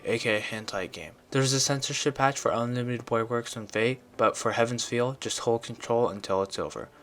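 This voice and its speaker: A man's voice: on the low side, fairly bright tone. A man reading a scripted video review in an informal, opinionated way. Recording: music behind the voice. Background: background music.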